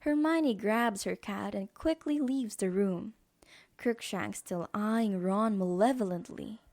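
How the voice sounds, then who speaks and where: A woman's voice: mid-range voice, slow, a little mellow. A woman reading scripted narration as the narrator of an audio drama.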